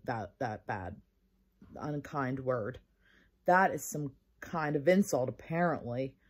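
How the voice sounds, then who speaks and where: A woman reads a storybook aloud for children in a lowish, husky voice. Her delivery is highly animated, and she stops to explain things to her young listeners. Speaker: storybook reader. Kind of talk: expressive children's read-aloud.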